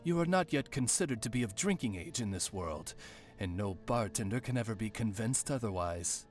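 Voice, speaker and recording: An actor voicing a dignified raven servant in a video game, formal, courteous and deadpan, like a long-suffering butler. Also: male voice, lowish voice, moderate pace, soft background music, close to an everyday voice. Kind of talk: video game character voice lines.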